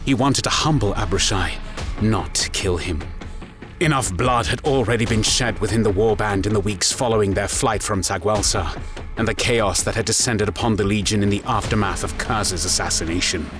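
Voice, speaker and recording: Casting: male storyteller with a bass register, solemn and deliberate dramatic narration over a clear music bed.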